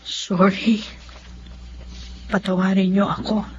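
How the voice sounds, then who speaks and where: Heartfelt grown daughter played by an actress, lo-fi. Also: female voice, medium pitch, flat delivery, slow, slight background noise, lightly acted. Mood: remorseful, earnest. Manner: heartfelt, emotional delivery. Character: earnest, apologetic grown daughter, self-sacrificing single mother. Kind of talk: Filipino radio drama acting and first-person narration. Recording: dull lo-fi radio recording with music.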